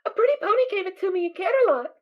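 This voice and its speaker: Giggly little pony filly. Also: female voice, extremely high pitch, animated, rapid-fire. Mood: innocent. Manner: giggly, eager, childlike. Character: cheerful little filly pony, naive and bubbly. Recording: tinny phone-like sound.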